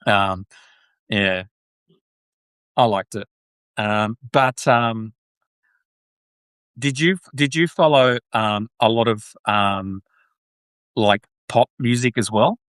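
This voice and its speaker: A man's voice: lowish voice, takes it slowly, long pauses, fairly bright tone, smooth, no room sound. A man with an Australian accent hosting a casual live podcast chat, chatty, with lots of ums and restarts. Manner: chatty, frequent ums and self-corrections. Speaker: podcast host.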